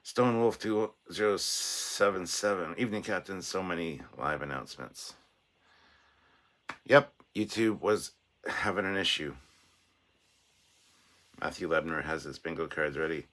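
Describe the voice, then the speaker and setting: A man hosting a casual livestream with a sore, hoarse throat, chatting in a rambling way and reading out viewer comments. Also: sits low, unhurried, long pauses, fairly bright tone. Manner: rambling and chatty, with restarts and self-deprecating asides. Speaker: livestream host.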